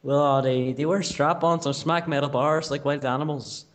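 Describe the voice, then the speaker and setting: A man's voice: a little high, steady tone, normal speed, a little hiss or hum. A man with a Northern Irish accent from Derry making a prank phone call, cheeky and mock-serious. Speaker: prank caller. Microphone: telephone call.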